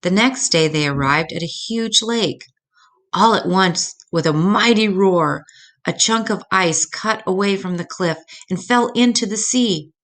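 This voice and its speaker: A woman's voice: mid-range voice, a little slow, fairly bright tone. An older woman storyteller reading a children's picture book aloud.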